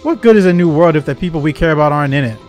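A man voicing a fed-up, sharp-tongued young female villain grunt from a video game, exasperated and emphatic. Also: on the high side, lively intonation, racing, close to an everyday voice. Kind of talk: voiced reading of game dialogue. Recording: game music behind.

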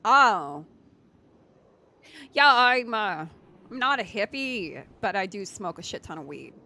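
A young woman playing a laid-back girl, bright, lively voice, giggly, mumbles and trails off. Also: medium pitch, slow, a little hiss or hum, exaggerated voice. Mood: mumbling. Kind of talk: improvised roleplay.

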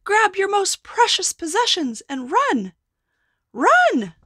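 A woman voicing frightened townspeople, yelling high and frantic warnings. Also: huge pitch swings, takes it slowly, dry recording, clean studio sound, full character voice. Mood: panicked. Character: panicked shouting townsfolk fleeing a giant.

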